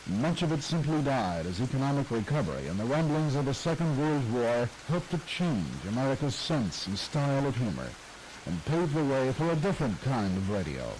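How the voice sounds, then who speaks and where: Man, documentary narrator, measured delivery, lively pitch, faint hiss. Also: rough recording. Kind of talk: scripted radio history narration.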